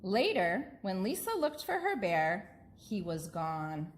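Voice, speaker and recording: A woman narrating a children's bedtime story in an expressive, deliberate manner. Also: medium pitch, a little hiss or hum. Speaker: school staff member reading aloud.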